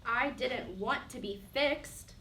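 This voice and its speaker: A woman's voice: on the high side, slow pace, sharp tone, full character voice. Actress as a defensive young woman in echoey room. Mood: wounded, pleading. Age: young adult. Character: defiant, wounded 23-year-old woman, defensive and yearning. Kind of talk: one-act play dialogue. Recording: roomy echo, distant mic, faint noise.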